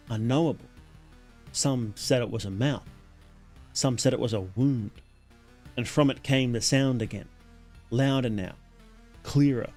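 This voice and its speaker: Guy streamer reading scary story, mid pitch, slow-building dramatic delivery, serious, some music behind. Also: a little mellow. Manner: deliberate, solemn, short weighted phrases. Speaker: livestreamer and narrator. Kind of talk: dramatic horror story narration.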